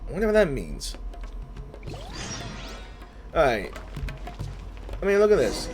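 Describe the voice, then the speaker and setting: Animated gaming streamer, very swingy pitch. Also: takes it slowly. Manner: animated, reactive. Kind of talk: casual game playthrough commentary, reading and reacting. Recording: game music faintly behind.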